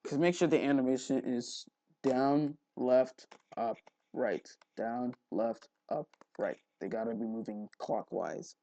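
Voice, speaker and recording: A man hosting a casual, unscripted screen tutorial, haltingly repeating words and muttering to himself, recorded on a headphone mic at his neck. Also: mid-range voice, warm tone, no echo. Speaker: tutorial host. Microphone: headphone mic held at the neck.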